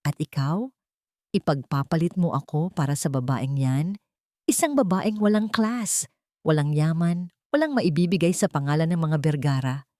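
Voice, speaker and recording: A woman's voice: lively intonation, normal speed, warm tone, no room sound, clean studio sound. A woman voicing a haughty, furious young rich woman who screams and sneers. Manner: shrill, screaming, contemptuous.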